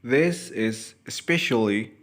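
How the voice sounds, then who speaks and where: A young man reads a scripted lesson as a student presenter, enunciating carefully and deliberately. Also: mid-range voice, lively intonation, fairly bright tone. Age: young adult.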